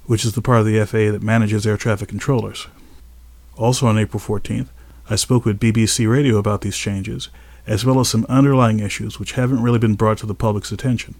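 A man speaking as an expert host in a measured, explanatory way. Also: on the low side, darkish tone, no room sound. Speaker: aviation safety expert and podcast host. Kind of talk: scripted narration and expert interview answers.

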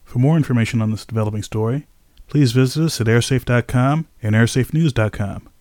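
A man speaking as an expert host in a measured, explanatory way. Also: mid-range voice, a little mellow, faint music behind. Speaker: aviation safety expert and podcast host. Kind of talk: scripted narration and expert interview answers.

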